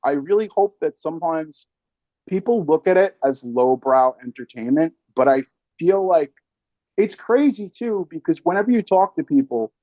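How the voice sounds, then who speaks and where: A man with a slightly high voice chats casually as a guest, telling stories with animation and plenty of slang and swearing. The recording is dry and a little dull. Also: normal speed, dry recording. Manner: animated storytelling, swears freely; slangy, calls listener man and brother. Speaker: interview guest, actor and filmmaker. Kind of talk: casual chat.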